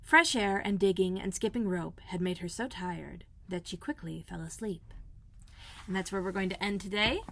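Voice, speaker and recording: A woman's voice: mid-range voice, normal speed, gravelly, a bit bright. Woman reading aloud a children's storybook. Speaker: elementary school librarian, host.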